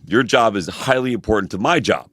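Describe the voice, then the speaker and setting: A man's voice: on the low side, expressive, a bit bright, no echo. A man co-hosting a podcast in casual chat, rambling with lots of "freaking" and "bro". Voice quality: fast, rambling, uses "freaking" and "bro" a lot. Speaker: podcast co-host, personal trainer.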